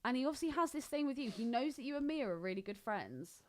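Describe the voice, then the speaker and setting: A woman's voice: high voice, animated, fast talker. A woman co-hosting a podcast in a casual chat, gossipy, blunt and emphatic, swearing freely and repeating herself for stress. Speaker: podcast co-host.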